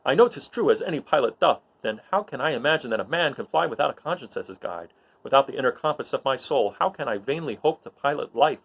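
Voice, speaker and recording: A man's voice: mid-range voice, expressive, fast talker, gravelly, a bit bright, breathy edge, dull audio, heavily acted. Guy doing roguish smuggler pilot, proud but torn up inside, brooding shakespeare soliloquy, very declamatory. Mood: conflicted, resolute.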